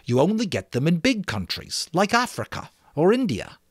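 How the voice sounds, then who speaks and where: Audiobook schoolboy leader, played by a man: cheerful, confident, pitched up, emphatic and lively. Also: moderate pace, husky, no room sound, exaggerated voice. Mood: dismissive. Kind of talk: dramatic audiobook character voice.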